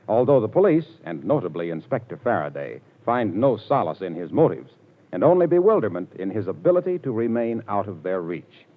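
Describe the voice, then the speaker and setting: A male radio announcer in a 1940s broadcast, polished and emphatic. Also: on the low side. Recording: tinny, phone-like old radio broadcast.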